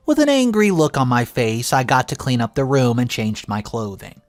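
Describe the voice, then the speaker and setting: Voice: medium pitch, dry recording. A narrator reading a scripted first-person story in a dramatic storytelling manner. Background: music.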